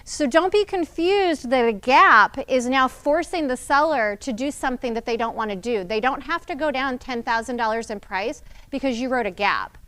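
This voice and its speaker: A woman with a high voice leads a meeting of real estate agents. She explains terms plainly and speaks directly to the group, checking that everyone follows. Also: moderate pace, hardly any pauses, bright, forceful tone, clean tone. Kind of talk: training talk to agents.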